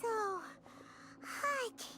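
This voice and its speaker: A woman voicing a tiny, childlike fairy companion in a video game, squeaky, excitable and naive. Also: extremely slow, loud music behind the voice. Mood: whiny, wilting. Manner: talks of self in third person. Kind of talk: scripted game dialogue.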